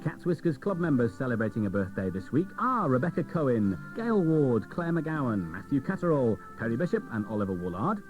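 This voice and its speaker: A man's voice: medium pitch, big pitch swings, fairly quick, hardly any pauses, music in the background. A man presenting a children's radio show, chatting directly to the listeners. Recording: dull old cassette radio recording.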